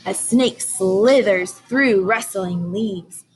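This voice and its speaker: A woman's voice: medium pitch, very slow, soft background music, rough recording. A woman teacher reading aloud to young children, explaining and asking questions in simple, emphatic language.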